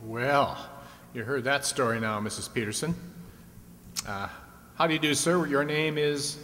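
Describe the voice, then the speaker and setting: A man's voice: unhurried, fairly bright tone, natural voice. Man playing echoey old-time radio quiz host. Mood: chatty, inquiring. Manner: chatty, leading contestants along with questions. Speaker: game show host. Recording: echoey hall, faint rumbling noise.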